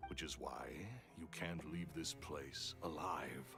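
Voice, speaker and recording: An actor voicing a scheming, jealous male magician villain in a video game, gravelly and menacing. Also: a bass voice, fairly even intonation, takes it slowly, music in the background, exaggerated voice. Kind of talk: game voice acting.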